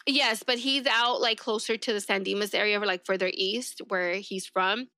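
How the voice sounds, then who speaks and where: A woman's voice: medium pitch, fairly even intonation, normal speed, sharp tone, no echo, studio quality. A woman whose first language is Spanish, telling her story casually as a police deputy guest on a podcast.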